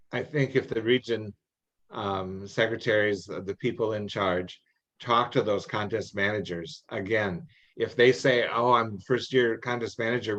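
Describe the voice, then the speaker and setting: A middle-aged man with a fairly low voice, heard through slightly muffled meeting audio. He talks in a folksy, halting way, repeating words as he gives practical advice informally. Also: fairly bright tone. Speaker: experienced contest judge and adviser. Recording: muffled, band-limited online meeting audio.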